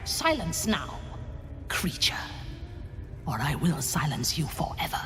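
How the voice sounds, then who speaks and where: An imperious, cruel villainess, speaks slowly with sweeping intonation in long reverb. Her formal, cold threats belong to a fantasy game commander addressing servants. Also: sits low, sing-song, fairly bright tone, exaggerated voice. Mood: cold menace. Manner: formal, menacing, measured. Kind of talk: video game character acting. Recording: long reverb, faint music behind.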